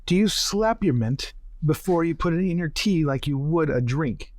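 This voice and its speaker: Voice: medium pitch, lively intonation, normal speed, a little mellow, no room sound, clean studio sound. A tabletop game player chatting casually at the table.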